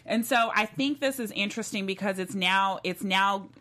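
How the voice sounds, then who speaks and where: A woman's voice: medium pitch, normal speed, pushed and bright. A woman co-hosting a TV recap show, chatting in an opinionated, colloquial way. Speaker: co-host of a reality TV after-show. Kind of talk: casual panel chat.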